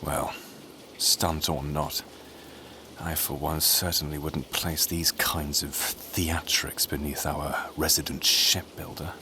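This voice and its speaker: An actor playing a scheming, grandiose conspirator in a scripted audio drama, speaking in silky speeches. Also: male voice, very deep voice, little pitch movement, takes it slowly, sharp tone, slight background noise, full character voice. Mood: wry, calculating. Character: scheming, theatrical male political conspirator relishing intrigue.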